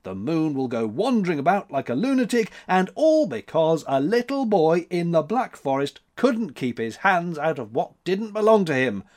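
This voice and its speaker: A man's voice: on the high side, sing-song, moderate pace, hardly any pauses, darkish tone, voice pushed up. A man voicing a blustering, scolding male spirit of a month in a storybook reading. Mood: grumbling threats. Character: March, blustering wild-haired month spirit, grumbling and threatening.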